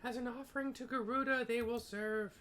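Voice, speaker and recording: A man voicing a bloodthirsty, fanatical bird-man warrior from a fantasy game in a shrill squawk. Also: steady tone, brisk, sharp tone, a little hiss or hum.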